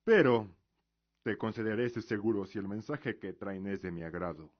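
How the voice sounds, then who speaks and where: A man's voice: fairly low pitch, lively intonation, normal speed, natural voice. A man playing a proud, grieving father and imperial commander in a radio drama, speaking formally and sternly with resolve. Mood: stern contempt. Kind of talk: Spanish-language radio drama acting. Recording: dull lo-fi audio.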